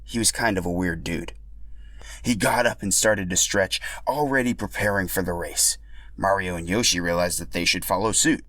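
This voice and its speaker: A man narrating a scripted story for a podcast in an animated, expressive way. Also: low-pitched, normal speed, dry recording.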